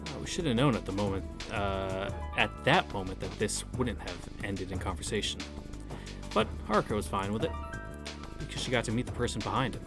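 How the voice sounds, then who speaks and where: A man's voice: fairly low pitch, expressive, fairly quick, music in the background. A man reading a visual novel aloud as a streamer, casually, with irreverent and crude wisecracks. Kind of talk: casual read-through with wisecracking commentary.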